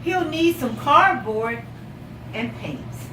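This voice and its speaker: Female classroom storyteller, high animated delivery, encouraging and expressive, captured from a distance in a noisy room. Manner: encouraging, repeats children's answers; expressive, drawn-out emphasis on story words. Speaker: teacher reading a picture book to young children. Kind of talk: interactive read-aloud storytelling with questions. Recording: echoey room, distant mic, loud background noise.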